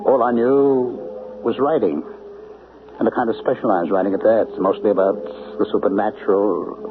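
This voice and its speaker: A man's voice: fairly low pitch, fairly even intonation, moderate pace, lightly acted. An actor playing a brooding male writer of supernatural stories, narrating a 1970s radio drama in an introspective, questioning way. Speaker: narrator and lead character, a writer. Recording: tinny, lo-fi old radio broadcast with music.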